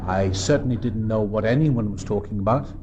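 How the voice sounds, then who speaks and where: A Welsh man in his fifties gives an earnest, reflective recovery talk at a meeting, with pauses and restarts. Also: lowish voice, mellow, muffled recording, a little hiss or hum. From South Wales. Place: meeting room.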